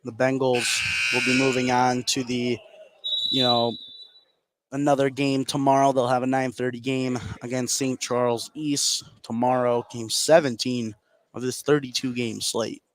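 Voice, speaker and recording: An American man works as color commentator at a live basketball game. He speaks conversationally from courtside, in a medium-pitched voice. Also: little pitch movement, measured pace.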